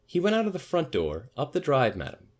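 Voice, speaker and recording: A man acts out a parlour maid in a storybook reading, her pitch lifted well up. She is deferential and stiff, with a grudging, quietly superior air. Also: wildly swooping pitch, brisk. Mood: reluctant. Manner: deferential, prim, grudging in answers. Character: stiff, respectful parlour maid, a woman, doggedly self-righteous. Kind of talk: audiobook character voice.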